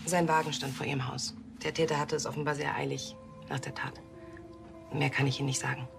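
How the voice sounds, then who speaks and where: A composed policewoman, quick-spoken with a creaky edge. Her manner is businesslike and official, as in a TV crime drama. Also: sits low, flat delivery, music in the background.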